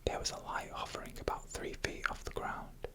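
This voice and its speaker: A narrator tells eerie first-person stories in a breathy, unvoiced ASMR whisper, with slow and deliberate suspense. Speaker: ASMR storyteller.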